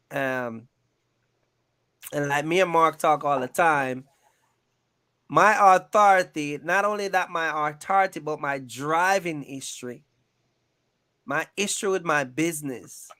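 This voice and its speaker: A man's voice: fairly high pitch, bright, forceful tone, clear, resonant tone, dry recording. A man chatting casually and emphatically, often checking that the listener follows. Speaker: trucking business owner and video creator. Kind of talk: casual chat.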